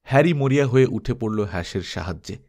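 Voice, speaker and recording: A man's voice: medium pitch, quick pace. A man reading an adventure story aloud as dramatized audiobook narration, acting expressively through the tale. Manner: expressive, acts out characters' dialogue.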